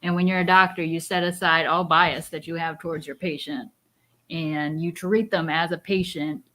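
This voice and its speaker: Woman, slightly low pitch, sharp bright tone, wry, casual co-host chat, voices imagined dialogue. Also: fairly even intonation, moderate pace.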